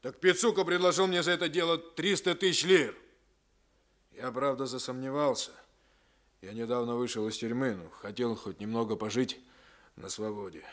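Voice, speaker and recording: A man acts a sullen crook being questioned in an old radio play. His voice is medium-pitched, and he talks in a grudging, defiant, streetwise way. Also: fairly even intonation, normal speed, a bit bright, close to an everyday voice. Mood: grudging confession. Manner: grudging, colloquial. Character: sullen, defiant male criminal under interrogation. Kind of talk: 1960s Soviet radio drama acting.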